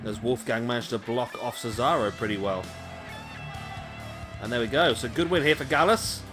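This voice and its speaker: A man giving casual play-by-play commentary on a wrestling video game, chatty with rambling asides to viewers, his voice going a little hoarse. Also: medium pitch, moderate pace, over music. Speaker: gaming commentator. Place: home room, late at night.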